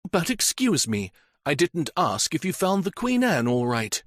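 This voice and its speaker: A composed widow who smiles a little spitefully as she talks, her voice raised high and dancing in pitch, as a man reads her part. The delivery is dry and close, with no room around it. She sounds arranged and in control. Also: big pitch swings, unhurried, fairly bright tone, no room sound. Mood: poised. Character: poised, faintly spiteful young widow. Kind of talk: audiobook character voice.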